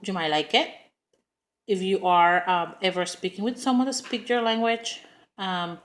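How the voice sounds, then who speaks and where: Spanish-accented woman doing a class speech, slightly low voice, earnest, lots of uhs. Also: a bit bright. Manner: earnest, with frequent ums and uhs. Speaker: student giving a class speech. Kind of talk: prepared introductory speech.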